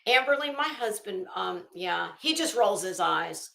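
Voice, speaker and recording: A woman's voice: medium pitch, lively intonation, unhurried, vocal fry, bright, forceful tone, echoey room. A middle-aged woman hosting a casual livestream, rambling through stories in a sassy, wry, profane way. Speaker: livestream host.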